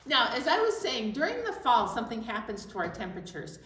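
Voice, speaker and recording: High, animated American woman hosting children's storytime. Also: fairly quick. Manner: instructive, encouraging, questions to viewers. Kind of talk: addressing preschoolers in an online storytime. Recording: distant mic in echoey room, faint noise.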